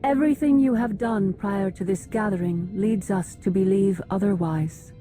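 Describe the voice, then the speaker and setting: A woman's voice: mid-range voice, moderate pace, mellow, soft background music, close to an everyday voice. An actress playing a stern, distrustful female alien council leader in a video game, formal and grave. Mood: cold reproach. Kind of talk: video game cutscene acting.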